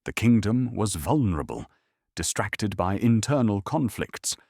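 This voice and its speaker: Deep voiced guy reading a calm bedtime history doc, slow and solemn, kinda husky. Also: dry recording, clean studio sound. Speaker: narrator. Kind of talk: calm bedtime documentary narration.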